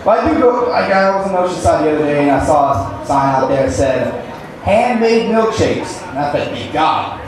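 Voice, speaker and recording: A man's voice: fairly high pitch, animated, normal speed, a crowd in the background. A young man performing a stand-up comedy set on a club stage, with an awkward, rambling, self-deprecating delivery. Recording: echoey club room, distant mic, background noise.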